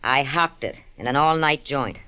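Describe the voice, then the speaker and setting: A woman's voice: extremely low pitch, lively intonation, moderate pace, smooth. An actress playing a streetwise, defensive, desperate fugitive woman in 1940s radio crime drama style. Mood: wary. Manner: slangy, plain, defensive. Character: tough, streetwise, desperate young female fugitive. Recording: muffled old radio recording.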